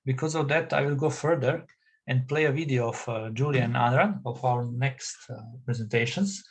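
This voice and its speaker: A man from Serbia with a Serbian accent moderating an online academic session, speaking haltingly and repeating words as he goes. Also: mid-range voice. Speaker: session chair.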